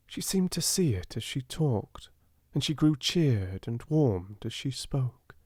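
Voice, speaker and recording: Man reading as a gentle, deferential Indian manservant. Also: mid-range voice, no room sound, clean studio sound. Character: gentle, humble, devoted Indian manservant, mysterious storyteller.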